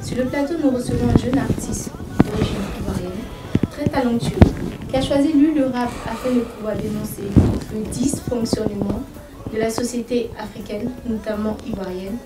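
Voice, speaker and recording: A woman's voice: a little high, moderate pace. A woman hosting a TV show, speaking in a poised, formal way as she introduces her guest and asks him questions. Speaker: TV show host. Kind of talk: formal TV interviewing. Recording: echoey room, distant mic, music behind.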